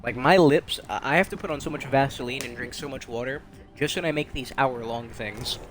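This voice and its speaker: A man's voice: mid-range voice, brisk, crowd noise. A young man chatting casually over his own gameplay, rambling and wry, poking fun at himself and at other players. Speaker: game streamer.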